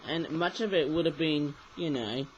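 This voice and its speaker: A man who has just woken up, talking croakily through a casual toy review into a webcam. He rambles and keeps correcting himself. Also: a little high, moderate pace, lo-fi sound, slight background noise. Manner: rambling, self-correcting, chatty asides.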